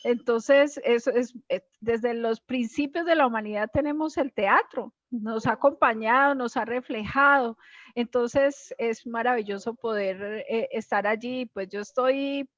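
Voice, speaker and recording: A woman's voice: fairly high pitch, a little slow, a bit bright, no echo, dull audio. A Colombian woman with a Colombian accent, hosting a casual interview in an effusive, admiring way. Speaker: host, stage performer.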